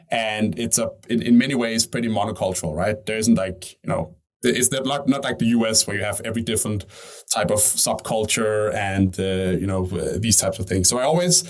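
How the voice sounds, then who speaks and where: Fast-talking man from Denmark. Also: lowish voice. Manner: fast, thinking aloud with frequent "right?" and restarts. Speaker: company CEO guest. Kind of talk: conversational interview answers.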